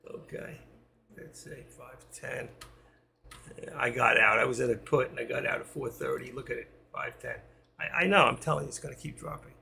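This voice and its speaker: A man hosting a live trading stream, talking to viewers while he watches the charts, with quiet asides between his sign-offs. He is losing his voice. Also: mid-range voice, steady tone, moderate pace, vocal fry, slight background noise. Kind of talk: live market commentary to viewers.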